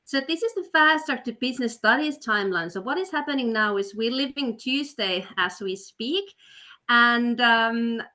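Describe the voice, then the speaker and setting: A woman with a fairly high voice hosts an online webinar over a video call. She sounds upbeat and persuasive, keeps a steady flow of fillers and restarts, and pushes listeners to act soon. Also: moderate pace. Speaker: webinar host, study counselor. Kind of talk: webinar presentation.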